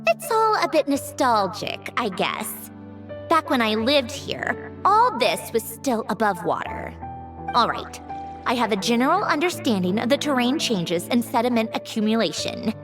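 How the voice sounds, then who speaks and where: Proud, guarded young woman, very animated. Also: fairly high pitch, measured pace, music in the background. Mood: cool, wistful. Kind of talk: English video game voice acting.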